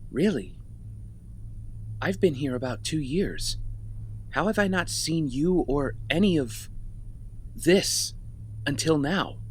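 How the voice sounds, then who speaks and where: An actor playing a battered, weary young castaway with a dryly sardonic manner in an audio drama. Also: male voice, a little high, lively intonation, slow pace, pauses often, a little hiss or hum, rough recording. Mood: weary, wary.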